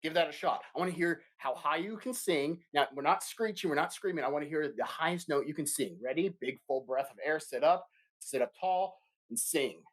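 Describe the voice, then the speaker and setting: A man's voice: a little high, brisk, a bit bright, low-quality recording. A man teaching a remote music lesson to young children, upbeat and encouraging, singing short demo notes.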